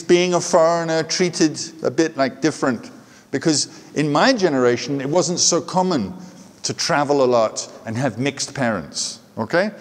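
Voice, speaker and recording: A middle-aged man who grew up speaking Danish gives an informal lecture to students, often checking in with "okay?". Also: a little high. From half Scottish, half Danish, grew up partly in England. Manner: chatty, checks in with frequent "okay?" tags. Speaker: guest lecturer. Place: large university lecture hall. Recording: echoey lecture hall.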